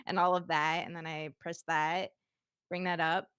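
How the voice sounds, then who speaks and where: Brief: woman, lowish voice, giggly chatty streamer, dry close mic. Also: level tone, moderate pace, fairly bright tone, clean tone, no room sound. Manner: giggly, chatty, laughs often. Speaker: VTuber guest. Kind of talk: casual livestream demo chat. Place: home streaming setup. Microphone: streaming microphone.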